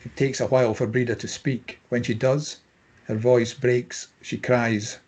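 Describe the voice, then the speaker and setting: A man with a husky voice reading scene headings and stage directions as scripted narration at a table read. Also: medium pitch, flat delivery, unhurried, darkish tone.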